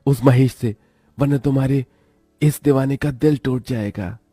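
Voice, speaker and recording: An actor playing a besotted, conniving young secret lover with a wheedling, romantic manner in a moral-story cartoon. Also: male voice, mid-range voice, measured pace, mellow, breathy edge, no room sound, faint music behind. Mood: besotted, warning.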